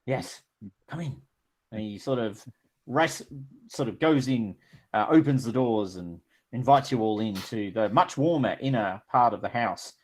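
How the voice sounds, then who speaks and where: A man narrating as game master, relaxed and rambling with many fillers. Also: fairly low pitch, normal speed.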